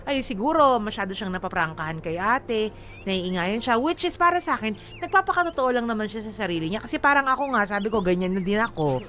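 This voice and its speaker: A woman's voice: mid-range voice, expressive, fast talker, a bit bright. A talkative, frank woman with a Filipino accent co-hosting a radio show in casual, slangy banter. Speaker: radio co-host.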